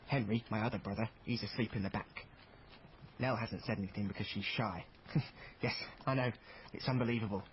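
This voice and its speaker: A man's voice: lowish voice, fairly even intonation, fairly quick, muffled recording, slight background noise, natural voice. An actor playing a proud, eager fourteen-year-old boy from travelling players, narrating a radio drama in a chatty, theatrical way. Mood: confiding.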